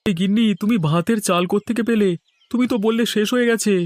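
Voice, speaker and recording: A man's voice: sits high, quick pace, a little mellow, no room sound, lightly acted. A man voicing a thin, meek young farmer in a village cartoon, speaking hesitantly but eagerly. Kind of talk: cartoon voice acting.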